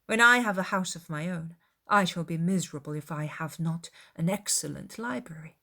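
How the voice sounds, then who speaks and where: A woman's voice: on the low side, normal speed, gravelly, sharp tone, heavily acted. A woman voicing an affected, haughty young society lady with formal, persuasive phrasing in a dramatized classic novel. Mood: affectedly bored.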